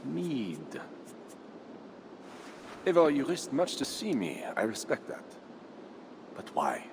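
An actor playing a proud, defiant Frankish count in a video game cutscene, measured and haughty. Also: male voice, mid-range voice, full character voice. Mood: wary, haughty. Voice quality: measured, haughty, lively delivery. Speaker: Frankish nobleman. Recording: game audio with clear ambient noise.

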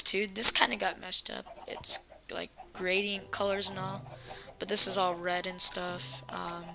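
Young female gaming host, bright tone with vocal fry, casual commentary over music. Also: medium pitch.

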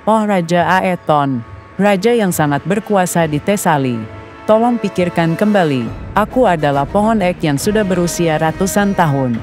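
A woman voicing a gentle, ancient female oak-tree spirit who pleads solemnly, in a dubbed cartoon. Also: fairly low pitch, animated, moderate pace, music in the background, lightly acted. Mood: pleading. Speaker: tree dryad.

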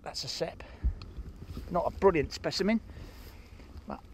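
A man's voice: fairly high pitch, expressive, unhurried. A man casually narrating a foraging vlog outdoors on a handheld camera, rambling and half talking to himself. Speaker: vlogger and forager. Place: outdoors in a grassy field with trees. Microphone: handheld phone or camera. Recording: very noisy outdoor handheld recording, handling clicks.

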